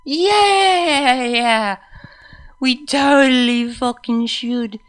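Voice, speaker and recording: A woman voicing a very drunk, giggly female pony who rambles in slurred, breathless, drawn-out words for a story reading. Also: high voice, voice pushed up. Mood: giddy, slurring.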